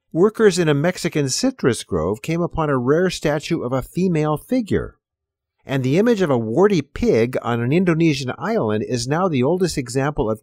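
A man's voice: mid-range voice, darkish tone, no room sound, clean studio sound. A man hosting an audio program, reading scripted headlines and announcements. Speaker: podcast host giving headlines and announcements.

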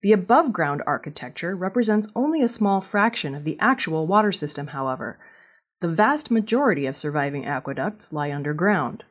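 Husky woman reading scripted news, lively intonation. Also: on the low side, brisk. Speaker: news reader.

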